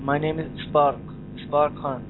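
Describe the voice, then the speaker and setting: A man's voice: on the high side, flat delivery, a little slow. Pakistani man on a noisy phone line. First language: not English. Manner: hesitant, informal. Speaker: caller. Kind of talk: phone call to radio show.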